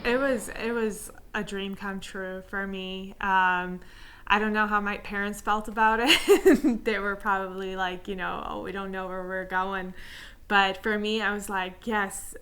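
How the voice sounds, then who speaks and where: A woman's voice: mid-range voice, fairly even intonation, a little slow, fairly bright tone, clean tone. A young woman from Iran whose first language is Armenian, telling her story in a casual interview with frequent "like" fillers and restarts. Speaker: interviewee, structural engineer.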